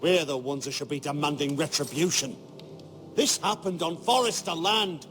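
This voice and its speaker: An actor playing a proud, hot-headed knight hungry for vengeance, indignant and scornful in a fantasy game drama. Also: male voice, a little high, moderate pace, a bit bright, soft background music, lightly acted. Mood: outraged.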